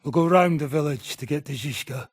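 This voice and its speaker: A man playing a gruff, foul-mouthed, menacing mercenary in a video game, with a gravelly, raspy voice and a coarse, swaggering, sardonic manner. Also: fairly high pitch, brisk, no room sound. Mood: gruff, commanding. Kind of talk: video game character acting.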